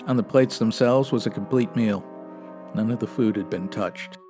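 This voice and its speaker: A man's voice: on the low side, normal speed, warm tone, faint music behind, lightly acted. A man playing a stoic, no-nonsense 1950s police sergeant, narrating in clipped, matter-of-fact radio detective style. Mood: flat, factual. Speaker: actor in a comedy audio drama.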